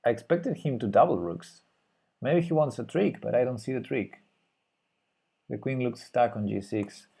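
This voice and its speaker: A man's voice: mid-range voice, lively intonation, moderate pace, creaky, long pauses, dark tone, breathy edge. A man with a Spanish accent streaming live chess, thinking aloud and hesitantly muttering his moves. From Spain. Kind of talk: live chess commentary while playing.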